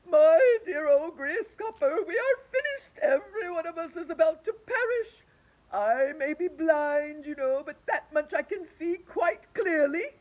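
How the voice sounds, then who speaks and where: A woman voicing a gloomy, blind male earthworm in a storybook, wailing high and loud about disaster. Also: moderate pace, few pauses, narrow, tinny audio, natural voice. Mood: despairing.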